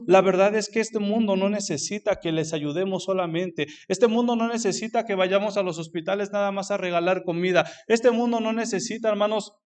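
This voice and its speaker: A man preaching a fervent sermon as a missionary, calling out "brothers" over and over, with frequent restarts. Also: high-pitched. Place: church sanctuary.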